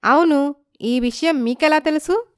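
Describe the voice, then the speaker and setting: A woman voicing a wronged young village wife in a story, polite and pleading but quietly determined. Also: sits high, a little slow, no room sound, clean studio sound, pitched above the performer's own voice. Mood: curious, eager. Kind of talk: dramatised story acting.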